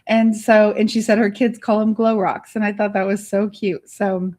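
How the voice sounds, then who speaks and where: A middle-aged woman with a raspy, hoarse voice, hosting a casual live chat from her home studio on a studio mic. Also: mid-range voice, little pitch movement, normal speed, darkish tone. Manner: chatty, bubbly, giggly. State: hoarse, worn voice. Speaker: livestream host. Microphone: studio microphone.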